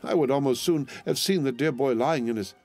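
A man's voice: medium pitch, fairly quick, darkish tone, faint music behind, pitched above the performer's own voice, close to an everyday voice. A man voicing a tearful, loving English woman, a doting sister, in a wailing, dramatic manner for a storybook. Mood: mournful, reproachful. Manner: wailing, mournful, exclamatory.

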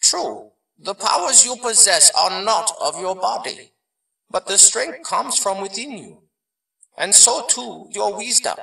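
An actor playing a wise old martial arts master spirit in an audio drama, speaking in a measured, formal, teaching manner. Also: male voice, high voice, animated, vocal fry, sharp tone, roomy sound, recorded from a distance, full character voice, poor audio. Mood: grave, caring.

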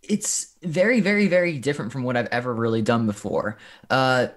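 Young man with an average-pitched voice. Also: a little fast. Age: young adult. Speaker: student performer being interviewed. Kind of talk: casual explanatory interview. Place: bedroom, indoors, on a video call. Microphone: microphone on boom arm, headset.

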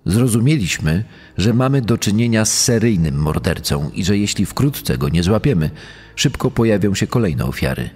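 A man playing a shaken police investigator giving testimony in a true-crime audio dramatisation, with a husky voice. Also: on the low side, sing-song, normal speed, a little mellow. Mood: shaken, grave. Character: shaken male police investigator recalling a murder case. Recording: long reverb, music bed behind.

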